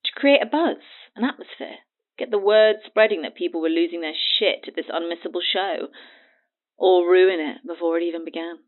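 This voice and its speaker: A woman's voice: lowish voice, a little fast. Haughty, needling woman on the phone. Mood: sardonic, explaining. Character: Birdie, cagey mocking woman selling her testimony. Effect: phone line filter. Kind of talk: naturalistic audio drama acting. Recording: tinny narrow phone line.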